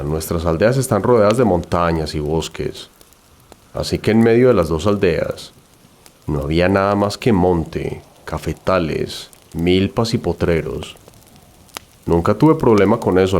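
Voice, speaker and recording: A man with a low, deep voice tells a horror tale in the first person. He reads it as a scripted story, in the role of narrator. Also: animated, unhurried, darkish tone.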